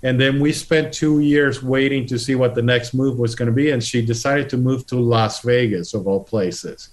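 Middle-aged guest, mid-pitched, earnest storyteller with restarts. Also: male voice, moderate pace. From Puerto Rico. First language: Spanish. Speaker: guest, political candidate. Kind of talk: conversational interview.

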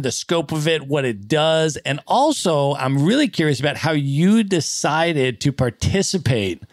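A man in the host's seat, asking his guest questions with curious enthusiasm. His voice sits at a middle pitch. It is recorded close and dry, with no room sound. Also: dry recording. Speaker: podcast host. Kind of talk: interview questions.

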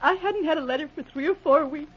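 A very high-pitched, timid young woman played by an actress sounds meek, naive and anxious in her grief. She speaks deferentially in 1940s radio drama style, through a dull lo-fi recording. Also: quick pace, a little hiss or hum, lightly acted. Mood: apologetic, anxious. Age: young adult.

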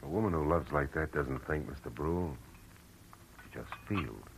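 An actor playing a hard-boiled, world-weary but compassionate private detective in 1940s radio drama style. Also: male voice, extremely low pitch, normal speed, background noise. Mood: gentle, consoling. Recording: old radio recording with music.

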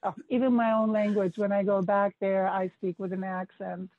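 A woman who learned English as an adult, a guest answering interview questions in a relaxed way, laughing easily and pausing to think. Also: medium pitch, no room sound. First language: not English. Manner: relaxed, laughing, thoughtful pauses. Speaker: podcast guest, investor. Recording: dry thin phone-like audio.

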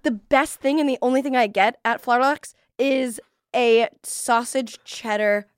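A woman's voice: fairly high pitch, measured pace, clear, resonant tone, no echo, clean studio sound. A woman hosting a comedic advice podcast, chatty and bubbly, bantering back and forth with her guest. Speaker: podcast host.

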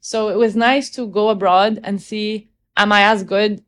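A woman's voice: medium pitch, steady tone, unhurried, a bit bright. Young woman from egypt being interviewed, thoughtful, keeps restarting her sentences. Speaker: guest, opera singer. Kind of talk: casual interview conversation.

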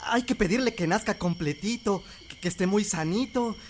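A woman with a Mexican accent playing a doting, excitable young village husband in an audio drama, affectionate and emotional. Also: mid-range voice, moderate pace, a little hiss or hum, natural voice. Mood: tender.

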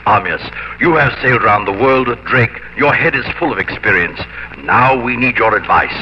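A man playing an eager, persuasive Elizabethan gentleman adventurer, hearty and theatrical in a 1950s radio drama. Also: mid-range voice, normal speed, noisy background, natural voice. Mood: commanding. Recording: muffled 1950s radio broadcast with music.

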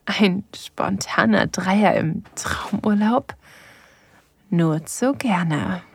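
A woman's voice: mid-range voice, slight background noise. An actress playing a playful, sensual woman on holiday, narrating intimately in an audio drama and slipping into whispers. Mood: aroused, intimate. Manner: breathy, slow, sensual delivery slipping into whispers.

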